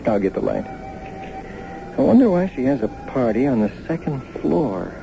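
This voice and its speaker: An actor playing an easygoing, tired young working husband in a naturalistic radio drama, casual and low-key. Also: male voice, sing-song, normal speed, vocal fry, music in the background. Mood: tired, relaxed. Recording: muffled, faintly noisy old radio broadcast.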